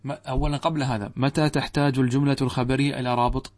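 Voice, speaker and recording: A man's voice: medium pitch, level tone, fast. Arabic grammar teacher lecturing his class. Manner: explains by asking the class questions and answering them; addresses students directly, checks understanding.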